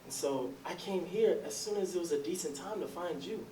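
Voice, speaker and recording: A man's voice: fairly high pitch, fairly quick, warm tone, natural voice. Man acting ardent middle-aged suitor, distant and roomy. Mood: ardent, eager. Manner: folksy, effusive speech. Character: ardent, insistent 42-year-old man courting his lost sweetheart. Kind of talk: readers theatre stage drama. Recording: roomy, distant, noisy recording.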